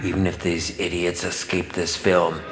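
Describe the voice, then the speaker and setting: An actor playing a flamboyant, petulant, sadistic film director villain in a video game, taunting theatrically in a drawn-out delivery. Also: male voice, low-pitched, flat delivery, reverberant, long reverb tail, heavy background noise, close to an everyday voice. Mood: mocking.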